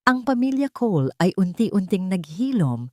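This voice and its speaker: A woman's voice: medium pitch, big pitch swings, normal speed, no echo, studio quality. A woman with a Filipino accent reading a scripted dramatic story as narrator.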